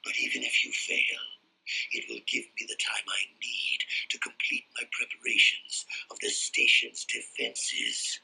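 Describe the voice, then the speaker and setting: An actor playing a menacing, imperious dark lord in a video game, ominous and grandiose, with a gravelly rasp. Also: male voice, mid-range voice, wildly swooping pitch, unhurried, whispered, exaggerated voice, low-quality recording. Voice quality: gravelly, rasping. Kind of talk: dramatic video game villain acting.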